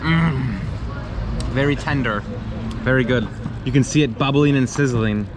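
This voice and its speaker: Enthusiastic American travel vlogger. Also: male voice, mid-range voice, a little slow, noisy background. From United States. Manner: enthusiastic, addresses viewers as "you guys". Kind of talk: travel vlog narration and chat. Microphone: handheld camera. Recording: music played under the voice. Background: background music.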